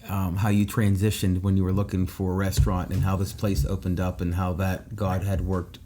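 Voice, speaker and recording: A man hosts a podcast interview in a restaurant, prompting his guest with relaxed, conversational questions. His voice sits very low and sounds dark and mellow. Faint background noise is heard behind him. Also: brisk. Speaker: podcast host.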